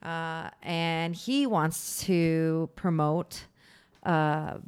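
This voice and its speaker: A woman's voice: deep voice, steady tone, extremely slow, clear, resonant tone. A woman co-hosting a podcast in casual chat, with a soothing voice and a self-deprecating, laughing manner. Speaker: podcast co-host.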